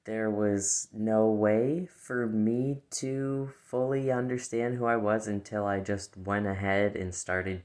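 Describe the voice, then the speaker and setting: A transmasculine young adult man vlogging to camera in a casual, reflective monologue, hesitant and halting with many restarts. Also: on the low side.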